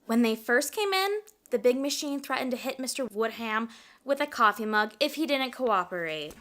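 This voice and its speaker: A curt young coffee shop worker in an audio drama. The voice is bright and forceful, rising and falling expressively, with a pert, easily offended manner. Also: female voice, on the high side, lively intonation, moderate pace. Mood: indignant. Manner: pert, indignant customer-service talk.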